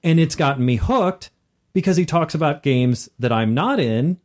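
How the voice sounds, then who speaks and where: A man's voice: on the high side, animated, normal speed. Man chatting casually as podcast co-host. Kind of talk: casual chat about tabletop gaming.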